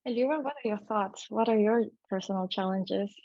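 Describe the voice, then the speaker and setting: A woman's voice: mid-range voice, a little fast, darkish tone, no echo. Woman moderator asking questions, dry dull call audio. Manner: conversational questioning. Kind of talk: panel moderation.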